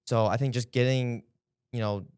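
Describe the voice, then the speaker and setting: A man's voice: medium pitch, fairly even intonation, moderate pace, darkish tone, smooth, dry recording, studio quality. A young man answering interview questions in an earnest, hesitant way with many fillers. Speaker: interview guest, former intern.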